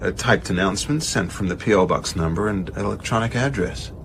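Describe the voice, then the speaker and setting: A man acting a measured, pedantic, evasive establishment gentleman with hesitant, careful speech in a radio drama. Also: low voice, noisy background. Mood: musing, aloof.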